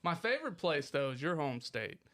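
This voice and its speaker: A man's voice: on the high side, very animated, brisk, pushed and bright. A man co-hosting a podcast in a laid-back, casual way, calling people "dude". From Cincinnati area, USA. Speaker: podcast co-host.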